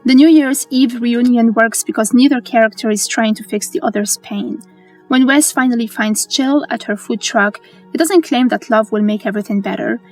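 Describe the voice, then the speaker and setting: Higher-pitched woman narrating over music. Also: moderate pace, a little mellow, low-quality recording. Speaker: narrator of a film explainer. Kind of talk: scripted narration.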